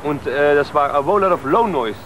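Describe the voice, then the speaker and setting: A man in his twenties from the southern Netherlands with a Dutch accent, a DJ chatting in halting non-native German in an outdoor TV interview. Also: a little high, expressive. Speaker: DJ and producer. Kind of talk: casual interview chat. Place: outdoors on a harbour waterfront. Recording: outdoor TV interview with loud music behind.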